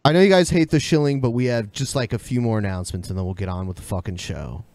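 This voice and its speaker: A man's voice: on the low side, quick pace, a little mellow. A man co-hosting a podcast, casually running through announcements and chatting with some swearing. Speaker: podcast co-host. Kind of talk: casual, profane chat and announcements.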